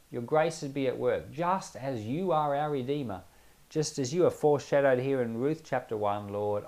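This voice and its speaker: A man's voice: medium pitch, vocal fry, darkish tone. A man speaking as a pastor, giving informal Bible teaching in a conversational, explanatory way with emphatic repetition. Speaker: pastor and Bible podcast host.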